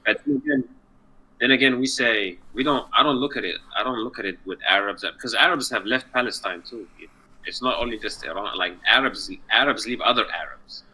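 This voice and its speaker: A man's voice: medium pitch, very animated, fairly quick, pushed and bright, breathy edge, low-quality recording. A man from Egypt in a casual online chat, earnest and rambling, sometimes searching for words. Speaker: chat partner.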